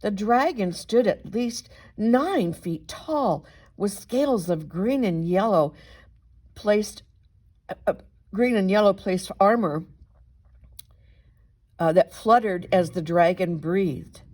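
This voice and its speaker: A woman reading a children's story aloud as an expressive narrator, with dramatic, deliberate emphasis. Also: medium pitch. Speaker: storybook reader, reverend.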